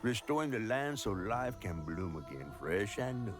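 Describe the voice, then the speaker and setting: A man's voice: lowish voice, very animated, moderate pace. Folksy Southern male storyteller over music. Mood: mysterious, portentous. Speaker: narrator. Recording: music under the voice. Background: clear background music.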